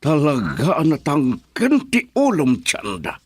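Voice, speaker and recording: A man's voice: a little high, huge pitch swings, slow pace, husky, no echo, heavily acted. A man playing a stern, disapproving middle-aged father who warns his daughter in an Ilocano radio drama.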